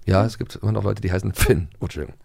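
A man's voice: fairly low pitch, very fast, creaky, a little mellow. A man casually asking questions as a host, in a playful, inquisitive manner. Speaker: podcast host. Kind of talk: casual interviewing.

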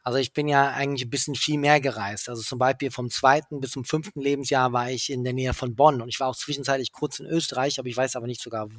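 A man in his forties who grew up in England, a podcast guest chatting casually, rambling, restarting his sentences and talking in quick bursts. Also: mid-range voice, fairly even intonation, fairly bright tone, no echo, studio quality. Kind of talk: casual conversation.

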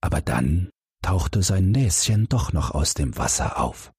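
Man reading a bedtime fairy tale, lively sing-song delivery, very raspy voice. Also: low voice, unhurried, no echo, clean studio sound. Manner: calm, expressive storytelling. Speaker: audiobook narrator.

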